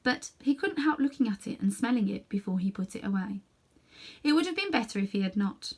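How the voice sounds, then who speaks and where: A woman's voice: mid-range voice, lively intonation, a little fast, breathy edge. Woman reading a children's story expressively. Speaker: storybook reader. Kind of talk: expressive scripted narration for children.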